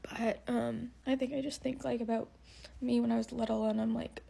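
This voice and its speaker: Gravelly-voiced woman, hesitant confessional vlog. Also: a little high, little pitch movement, normal speed. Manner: soft, hesitant, full of fillers.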